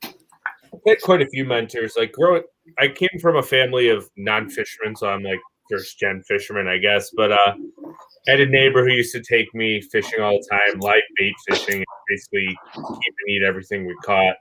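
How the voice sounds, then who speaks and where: A man's voice: fairly low pitch, fairly quick, bright, forceful tone, rough recording. A man in casual podcast conversation, informal and given to teasing.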